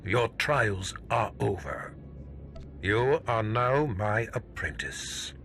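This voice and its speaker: An actor playing an imperious, gloating Sith master in a video game cutscene, pompous and grandiose. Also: male voice, fairly low pitch, very animated, slow pace, gravelly, fairly bright tone, soft background music, exaggerated voice. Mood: triumphant.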